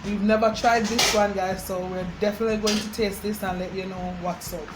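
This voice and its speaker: A woman's voice: medium pitch, steady tone, a little fast, heavy background noise. A woman with a Jamaican accent chatting informally to viewers as a vlog host, slipping into Patois as she demonstrates homemade drinks. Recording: music over the talk. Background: music.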